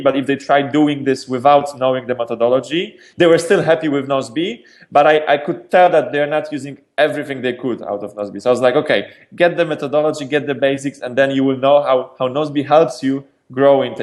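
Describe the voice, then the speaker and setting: A man from Poland, a startup founder answering interview questions in a lively, energetic way, often restarting and repeating words. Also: medium pitch, a little fast, few pauses. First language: Polish.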